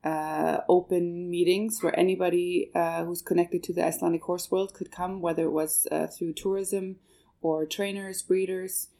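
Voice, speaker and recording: A woman's voice: fairly low pitch, flat delivery, moderate pace, vocal fry, dark tone. A woman who grew up in Canada and whose first language is German, giving hesitant interview answers as a project manager, with many fillers and restarts. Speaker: guest, manager of a marketing project.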